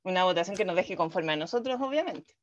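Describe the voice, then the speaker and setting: A woman's voice: fairly low pitch, lively intonation, quick pace, highs cut off. A woman, co-hosting a talk show, laughing easily and hesitating often. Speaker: co-host. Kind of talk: casual radio chat.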